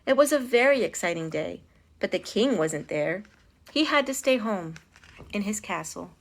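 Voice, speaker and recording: A woman reading a picture book aloud as a storytime host, in an expressive, dramatic style with stressed phrases. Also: mid-range voice, moderate pace. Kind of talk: expressive children's read-aloud.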